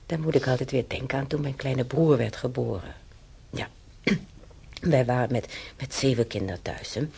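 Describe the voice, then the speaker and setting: A woman's voice: very deep voice, moderate pace, gravelly, a little mellow, breathy edge, heavily acted. An actress playing a chatty, wistful hospital patient in her seventies in a radio drama, rambling and homely as she reminisces. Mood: musing, wistful. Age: 70s. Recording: noisy old radio play recording.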